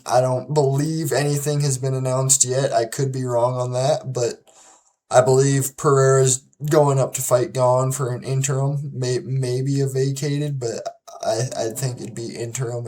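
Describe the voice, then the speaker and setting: A host giving relaxed solo commentary and predictions in an unhurried, drawn-out delivery at a middle pitch. The speech is loose, with many restarts and repeated words, and it grows confident and emphatic whenever the host makes a prediction. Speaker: host of a fight-prediction channel.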